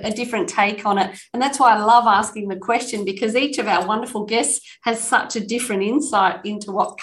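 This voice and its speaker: Podcast host: a woman, slightly high voice, effusive and enthusiastic. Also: fairly bright tone, rough recording. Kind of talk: podcast interview.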